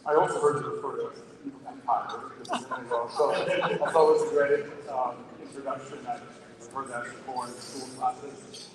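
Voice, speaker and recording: A man from Sweden with a Swedish accent, speaking earnestly as a company executive on a panel, often pausing with fillers. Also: mid-range voice, level tone. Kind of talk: panel discussion on stage. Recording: distant mic in an echoey hall with crowd.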